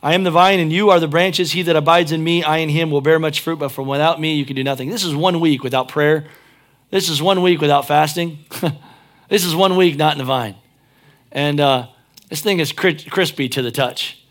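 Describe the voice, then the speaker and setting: A man's voice: fairly high pitch, a little fast, a bit bright. A pastor preaching a sermon, chatting and joking, then driving his points home with emphatic peaks.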